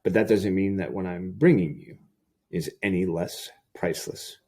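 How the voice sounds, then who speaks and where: A man's voice: deep voice, normal speed, mellow. A man hosting a podcast, speaking in a wordy, effusive way as he introduces and chats with guests. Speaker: podcast host. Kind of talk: casual interview chat and scripted introduction. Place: remote group call recording.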